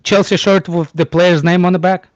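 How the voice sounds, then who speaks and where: A man's voice: high voice, little pitch movement, no echo, muffled recording. Blunt man with a Russian first language. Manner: blunt, teasing, halting non-native English. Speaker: panelist. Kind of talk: casual chat.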